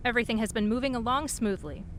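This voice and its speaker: A woman playing a crisp spy underling. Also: on the high side, fast, fairly bright tone, slight background noise, close to an everyday voice. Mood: reporting. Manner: crisp, businesslike. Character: efficient female spy underling.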